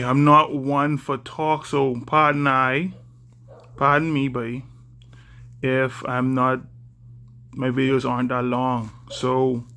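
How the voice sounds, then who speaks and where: A young man from the Bahamas with a Bahamian accent, talking to camera in a halting, careful way with many fillers. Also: mid-range voice, fairly bright tone. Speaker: vlogger. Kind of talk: casual talk to camera.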